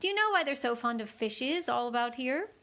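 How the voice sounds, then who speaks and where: A woman's voice: sits high, expressive, fairly quick, a bit bright. A woman voicing a polite, slightly anxious young girl, reading a storybook aloud.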